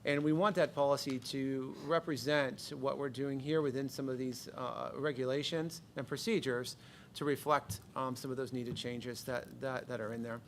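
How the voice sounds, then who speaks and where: A man's voice: medium pitch, fairly even intonation, normal speed, a little hiss or hum. A man presenting a handbook and policy plan to a school board, earnest and hesitant, with many fillers and restarts. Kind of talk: meeting presentation.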